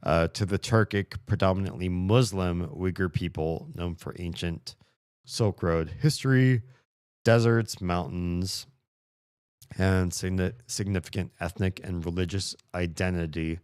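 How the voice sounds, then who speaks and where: A man streaming and answering chat in a casual, hesitant way, thinking aloud with many pauses and fillers. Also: low voice, steady tone, a little mellow, clear, resonant tone, no room sound, clean studio sound.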